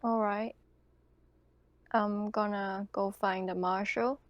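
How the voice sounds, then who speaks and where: A woman's voice: mid-range voice, fairly even intonation, slow pace, no echo, dull audio. A woman chatting casually as a player in a tabletop roleplaying game, speaking in character in her everyday way.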